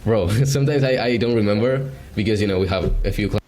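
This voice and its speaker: A man from Argentina pitching agency services on a video call, hesitant and full of fillers. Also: fast, darkish tone, a little hiss or hum. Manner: hesitant, filler-heavy, casual. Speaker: beginner agency salesman.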